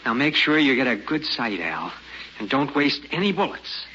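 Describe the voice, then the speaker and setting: An actor playing a gruff, trigger-eager homesteader father in an old-time radio drama, tense and commanding. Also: mid-range voice, big pitch swings, moderate pace, sharp tone. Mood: tense, wary. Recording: dull old radio recording, faint noise.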